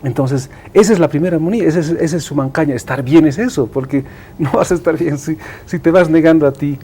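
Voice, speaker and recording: Guy, aymara speaker, mellow voice, teaching and checking you follow, bit of background noise. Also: fairly high pitch, quick pace. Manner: emphatic, didactic, repeats and seeks agreement. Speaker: interview guest. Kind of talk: explanatory interview talk.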